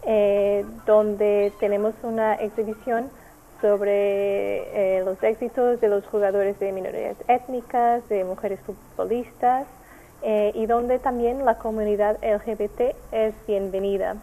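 A woman from Portugal with a Portuguese accent, a native Portuguese speaker, giving careful, halting interview answers as an NGO representative. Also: medium pitch, fairly even intonation, warm tone, low-quality recording. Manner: halting, careful, searching for words. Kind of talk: explanatory radio interview answers.

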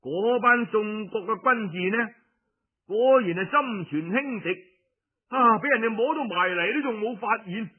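A man with a Cantonese accent telling historical tales in a dramatic, colloquial and expressive storytelling style. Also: high-pitched. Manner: colloquial, expressive narration with frequent drawn-out particles. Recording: muffled phone-like radio recording.

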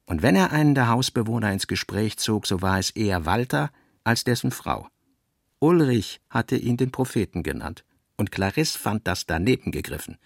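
Radio drama narrator: man, creaky mid-pitched voice, articulate and measured storytelling. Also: dry recording, clean studio sound. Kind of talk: scripted literary narration for radio play.

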